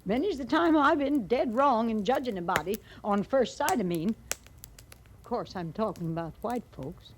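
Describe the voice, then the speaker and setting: A woman's voice: a little high, lively intonation, a little slow. An actress playing a forthright, folksy woman settler in a 1950s radio western, on a noisy old recording. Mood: musing. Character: outspoken, plain-spoken married woman settler heading west.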